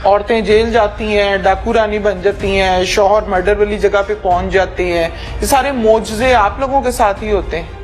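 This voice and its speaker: A woman's voice: mid-range voice, steady tone, normal speed, hardly any pauses, soft background music. A woman questioning someone, persistent, sarcastic and scolding. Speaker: interviewer. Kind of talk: probing interrogation.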